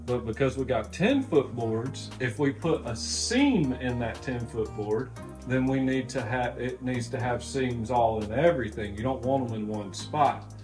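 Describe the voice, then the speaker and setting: A man's voice: medium pitch, unhurried. A man in his thirties casually explaining carpentry plans in an unfinished room, folksy and drawling. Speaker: vlog co-host renovating a houseboat. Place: unfinished room of a houseboat, indoors. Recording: music playing behind.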